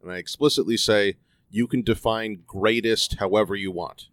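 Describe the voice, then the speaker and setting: A man hosting a board game podcast, introducing the episode and interviewing a guest in a measured, conversational way. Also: on the low side, steady tone, fairly bright tone, no echo. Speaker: podcast host.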